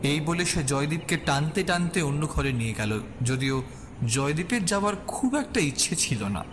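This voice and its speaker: A man's voice: a little high, expressive, normal speed, noisy background. A man narrating a scripted suspense audio story as its storyteller.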